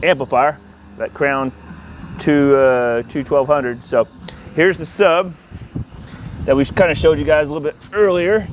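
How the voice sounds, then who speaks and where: A man hosting a casual hands-on walkthrough outdoors, explaining things informally as he goes. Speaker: host of an installer's video channel. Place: outdoors in a yard. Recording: outdoor noise, ambient rumble.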